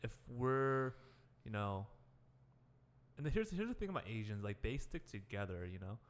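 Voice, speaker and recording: A young man talking on a casual podcast, halting and heavy with "like" fillers. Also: fairly low pitch, very animated, big-room sound.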